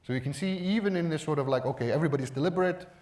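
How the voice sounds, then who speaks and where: A man presenting at a conference in a measured, explanatory manner, stressing key words with emphasis. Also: on the high side, a little mellow. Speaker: conference speaker.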